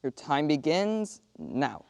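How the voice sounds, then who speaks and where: Smooth, formal contest announcer played by an actor. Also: medium pitch, wildly swooping pitch, darkish tone, no room sound, exaggerated voice. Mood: formal, official. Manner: formal, deliberate, clearly projected. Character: formal, official contest announcer at a veterans' post. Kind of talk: theatrical monologue as announcement.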